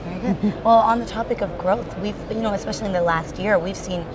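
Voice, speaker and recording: A woman's voice: medium pitch, fast talker. A woman interviewing a guest in a casual, affirming business conversation. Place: busy hosting industry event. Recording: noisy event location, traffic-like ambient noise.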